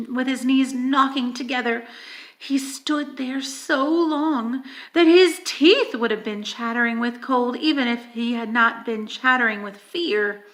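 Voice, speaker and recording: A woman reading a children's story aloud in an expressive, dramatic manner, with emphatic stresses and hushed moments. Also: on the high side. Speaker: audiobook reader.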